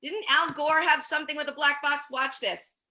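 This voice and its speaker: Bubbly woman host on a video call, bright chatty delivery. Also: high-pitched, steady tone, normal speed. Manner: bubbly, chatty, laughs easily. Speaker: podcast host. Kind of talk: casual video-call chat show.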